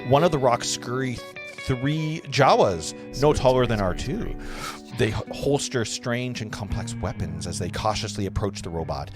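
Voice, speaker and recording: A man's voice: medium pitch, expressive, moderate pace. A man reading stage directions as narrator at an amateur table read of a film script. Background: music.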